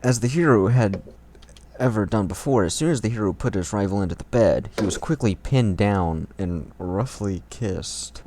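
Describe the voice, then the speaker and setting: A man with a lowish voice reads fan fiction aloud for laughs. He is casual and amused, swears freely and breaks off to comment, over faint noise with clicks and typing from a desktop setup. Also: animated, moderate pace, dark tone. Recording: noisy home recording with clicks and typing.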